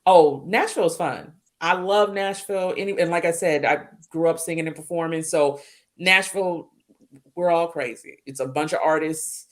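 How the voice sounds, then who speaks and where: A woman's voice: lowish voice, normal speed. Chatty, laughing woman singer-songwriter. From Ohio, US. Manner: chatty, laughs easily, uses Southern "y'all". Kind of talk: casual chat on a call-in show.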